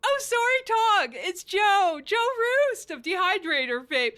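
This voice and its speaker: Chatty, eager housemate acted by a woman, very high sharp voice, stammering comedy audio drama rambler. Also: big pitch swings, a little slow, heavily acted. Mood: apologetic, chatty. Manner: stammering, rambling, repeats self. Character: chatty, eager, apologetic housemate who loves Jenga.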